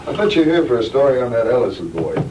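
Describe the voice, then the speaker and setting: A man playing a calm, frank middle-aged hospital doctor in a measured, matter-of-fact 1960s B-movie style. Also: medium pitch, lo-fi sound, lightly acted. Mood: businesslike. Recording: noisy old 1960s film soundtrack.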